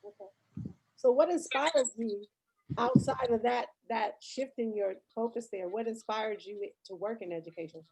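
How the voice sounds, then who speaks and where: Female podcast interviewer, slightly high and husky, conversational questioning. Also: animated, normal speed, a little mellow, rough recording. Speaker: host, interviewer.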